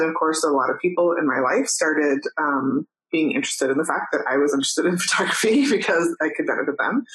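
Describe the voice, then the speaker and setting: Low-voiced chatty woman guest. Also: rough recording. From New Mexico, USA. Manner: chatty, lots of "um" and soft laughs. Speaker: guest, photographer and educator. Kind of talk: casual interview chat.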